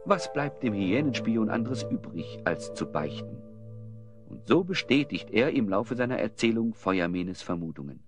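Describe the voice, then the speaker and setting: A man's voice: fairly low pitch, sing-song, moderate pace, over music. A man narrating a children's fantasy audio drama as a scripted storyteller. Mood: dramatic.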